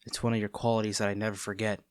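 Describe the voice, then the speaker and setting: A man playing a smug, taunting agent facing a former childhood friend, speaking in a measured, theatrical way in a scripted audio roleplay. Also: on the low side, level tone, clean tone, no echo, clean studio sound, lightly acted. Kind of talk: dramatic scripted roleplay monologue.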